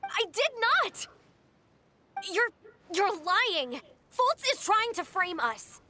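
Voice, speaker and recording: Game drama role: hot-tempered cornered girl, sharp bright tone, drawn-out confrontational delivery. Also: high-pitched, big pitch swings, exaggerated voice. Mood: indignant. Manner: emotional, confrontational, biting. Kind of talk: dramatic video game voice acting.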